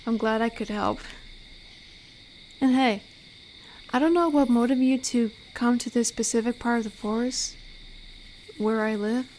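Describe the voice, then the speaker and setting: A fairly high female voice, gentle and teasing, plays a tender werewolf who protects a forest acted by a woman. She talks softly and closely to the listener in a roleplay audio drama. Faint cricket ambience sits behind her. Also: slight background noise, close to an everyday voice, poor audio. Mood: tender, comforting. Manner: gentle, teasing, soft-spoken.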